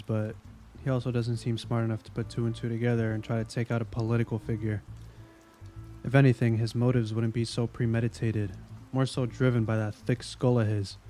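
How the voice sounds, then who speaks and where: Audio drama: veteran male detective, played by a man, warm mellow tone, dry wit, casual and world-weary, light music underneath. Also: medium pitch, close to an everyday voice. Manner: wry, needling, casual. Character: jaded, sardonic veteran male police investigator.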